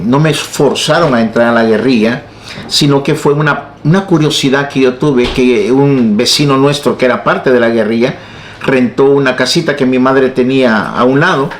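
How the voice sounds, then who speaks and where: A man's voice: medium pitch, echoey room. A man in his sixties from El Salvador with a Salvadoran Spanish accent, a pastor giving his personal testimony in an interview and often joking and laughing at himself. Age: 60s. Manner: jokey, laughs at his own jokes.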